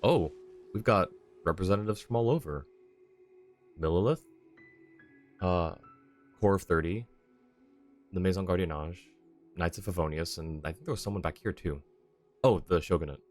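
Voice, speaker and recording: Man, deep voice, gaming streamer, casual chat, friendly, apologetic, faint background music. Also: moderate pace, pauses often. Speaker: livestream host, gamer. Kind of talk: casual game stream chat, reading chat and game dialogue aloud.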